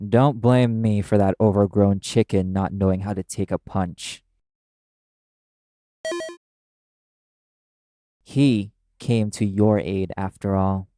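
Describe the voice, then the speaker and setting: A man's voice: on the low side, mellow, no room sound. A man playing a brash, cynical male demon who speaks bluntly and scornfully in an audio roleplay. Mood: scornful, cold. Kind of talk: dramatic audio roleplay addressed to the listener.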